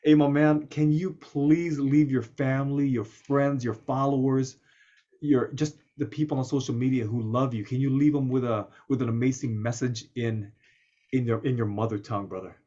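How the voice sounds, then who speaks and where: A man hosting an interview show, enthusiastic, slowing down to stress his points. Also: lo-fi sound. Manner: enthusiastic, slows down for emphasis, lively pitch jumps. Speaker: host.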